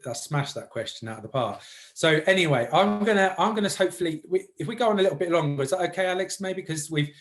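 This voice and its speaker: A man's voice: fairly high pitch, expressive, fast talker, fairly bright tone. A man hosting a casual music industry session, talking informally in loose, broken phrases. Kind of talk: casual interview chat.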